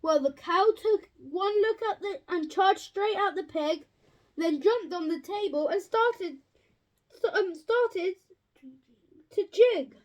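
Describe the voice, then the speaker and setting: A very high-pitched child's voice reads a children's picture book aloud. The pace is slow and careful. The reader stumbles over words now and then and goes back to fix them. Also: expressive, poor audio. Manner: stumbling, self-correcting reading. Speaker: story reader.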